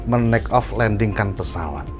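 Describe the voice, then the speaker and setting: Lowish man explaining casually. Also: expressive, normal speed. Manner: agreeable, repeats confirmations, mixes in English technical terms. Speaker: air traffic controller guest. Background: faint background music.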